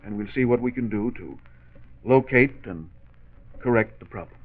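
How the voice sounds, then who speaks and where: An actor playing a calm, reassuring small-town doctor, explaining carefully in a 1940s radio drama. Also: male voice, mid-range voice, normal speed, lightly acted. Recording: tinny narrow 1940s radio recording.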